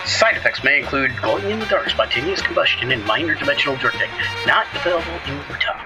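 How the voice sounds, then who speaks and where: A man playing a chipper, shamelessly upbeat 1950s radio pitchman with a hammy, exclamatory delivery. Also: expressive, quick pace, over loud music, natural voice, rough recording. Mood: rapid disclaimer. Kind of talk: retro 1950s radio advertising parody.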